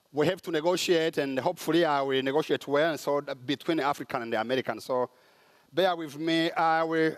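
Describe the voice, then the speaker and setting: A man with a Rwandan accent speaks from a conference stage in a preaching style. His voice sits a little high, and he often stops to search for the next word with an "uh.". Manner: halting, with many "uh" pauses. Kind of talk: sermon-style conference talk. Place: large conference hall.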